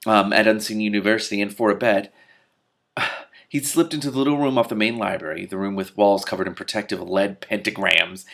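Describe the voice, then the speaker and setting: A man with a fairly low, gravelly voice reads a fantasy novel aloud. His delivery is expressive, and he drops into casual commentary along the way. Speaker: reader and commentator.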